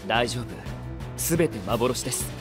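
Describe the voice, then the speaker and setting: A man's voice: mid-range voice, moderate pace, over music, close to an everyday voice. An actor playing a courteous, earnest male knight in an anime-style voice drama, formal and polite in his speech. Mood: alert, composed.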